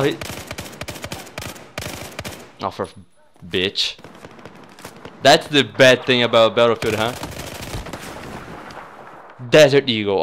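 A young man from Brazil with a Brazilian accent, a native Portuguese speaker, giving excitable, sweary, casual commentary as host of a gaming video. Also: mid-range voice, a little hiss or hum.

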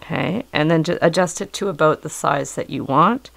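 Middle-aged woman with a cold, low gravelly voice, calmly walking you through a craft, dry close sound. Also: normal speed, dry recording. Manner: calm, patient, explanatory. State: hoarse from a cold. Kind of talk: step-by-step craft instruction. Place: indoors at a work table.

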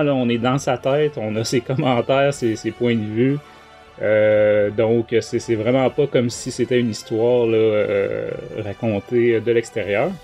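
A man's voice: mid-range voice, a little mellow. A man with a Quebec French accent hosting a casual book talk podcast, speaking in hesitant, broken-up phrases. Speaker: podcast host.

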